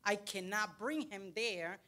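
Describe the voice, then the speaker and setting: A middle-aged woman from El Salvador with a Salvadoran Spanish accent, a parent speaking in halting English into a handheld mic in a library auditorium. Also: mid-range voice, sharp tone. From United States, raised in El Salvador. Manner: earnest, halting English with searching pauses. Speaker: parent speaking at a public meeting. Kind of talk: plain-spoken public comment. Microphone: handheld microphone.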